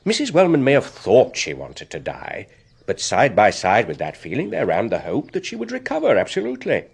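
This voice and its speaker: An actor playing a courteous, unflappable family solicitor in a British radio drama, with an English accent and a formal, explanatory manner. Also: male voice, medium pitch, wildly swooping pitch, brisk, creaky, slightly rough, heavily acted. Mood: measured, matter-of-fact.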